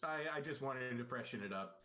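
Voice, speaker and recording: A man's voice: mid-range voice, fairly quick, over a noisy crowd. A man in his thirties, a guest on a podcast, chatting casually with dry, self-mocking humor. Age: 30s. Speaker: guest content creator. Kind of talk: casual chat.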